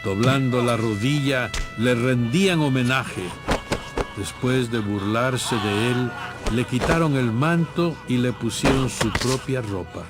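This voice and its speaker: A man's voice: mid-range voice, slow. A man reading scripted, dramatized Bible narration. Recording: music bed behind narration.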